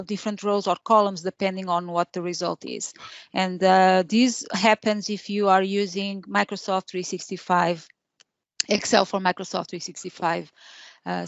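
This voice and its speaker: A woman's voice: medium pitch, clear, resonant tone, no room sound. A woman hosting an online meetup, speaking casually with many fillers and restarts. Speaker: meetup organizer. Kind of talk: casual online meeting talk. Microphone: video call. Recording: dull lo-fi call audio.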